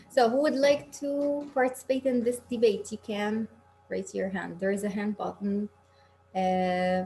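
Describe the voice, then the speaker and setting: A woman from Egypt leads an online English speaking class. Her voice sits at a medium pitch, and she talks informally, reassuring and encouraging her learners. There is faint noise and hum behind her on the call. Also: a little mellow, low-quality recording. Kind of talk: conversational online class teaching.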